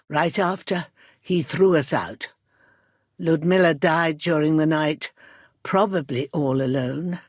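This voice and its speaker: A woman's voice: sits low, breathy edge, no echo, studio quality, full character voice. A middle-aged woman playing a weary, embittered medieval midwife and protective mother in a video game, speaking earnestly and with emotion. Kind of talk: dramatic game dialogue acting.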